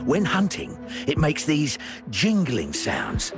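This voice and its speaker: An actor playing a kindly, chatty snack shop chef in a video game, with a folksy, expressive way of talking. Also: male voice, a little high, slow, creaky, exaggerated voice. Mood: gossipy. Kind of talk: animated game dialogue. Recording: game music behind the voice.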